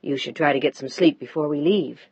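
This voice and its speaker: A woman voicing a devoted wife who talks gently but firmly to her husband. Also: deep voice, a little fast, breathy edge, no room sound, voice pushed down. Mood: tender. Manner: gentle but firm, rising when pushed. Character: devoted, pleading wife of a surgeon. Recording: dull, dry lo-fi recording.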